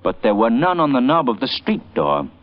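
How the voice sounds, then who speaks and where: An actor playing a mysterious, commanding crime fighter with urgent, dramatic delivery in old radio drama style. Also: male voice, medium pitch, huge pitch swings, moderate pace. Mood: grave, determined. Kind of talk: 1930s-40s radio drama acting. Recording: muffled vintage radio recording.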